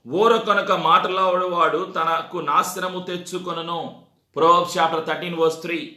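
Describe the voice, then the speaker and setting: A man's voice: high voice, bright, forceful tone. A man preaching a sermon, exhorting his congregation with repeated phrases and rhetorical questions. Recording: echoey, reverberant sound.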